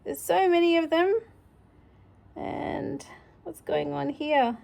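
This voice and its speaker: A woman's voice: sits high, fairly even intonation, slow, creaky, darkish tone, highs cut off. A woman reading a picture book aloud to a child, playfully exclaiming and chatting about the pictures. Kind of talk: animated read-aloud with chatty asides.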